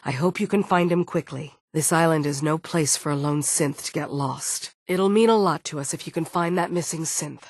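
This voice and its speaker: Guarded female android security chief, video game dialogue, fairly low pitch, firm, serious, dutiful, very dry recording. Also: normal speed, hardly any pauses, no echo. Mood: concerned.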